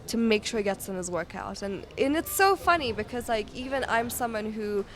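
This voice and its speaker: A woman's voice: on the high side, expressive, fairly quick. A woman in her twenties from Kenya, talking casually as a podcast guest and telling stories in a chatty way with quoted asides. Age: 20s. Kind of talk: casual conversational interview.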